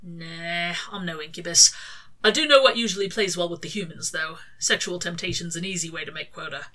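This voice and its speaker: Cheeky male demon for a story reading, acted by a woman: casual, slangy, sardonic, bright and forward in tone. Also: fairly low pitch, fairly quick, rough recording. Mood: wry. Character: cheeky, teasing, laid-back male demon.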